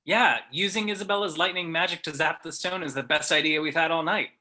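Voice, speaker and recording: A man's voice: on the high side, fairly even intonation, brisk, sharp tone. A man reading the part of an eager, teasing teenage boy in an amateur table read.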